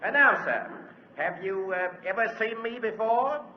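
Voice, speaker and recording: Pompous stage magician, high swooping voice, florid halting patter, flustered showman, echoey old radio recording. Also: lively intonation, dull audio, a little hiss or hum. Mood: grandiose. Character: pompous, flustered music-hall stage magician addressing his audience. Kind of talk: 1940s radio acting.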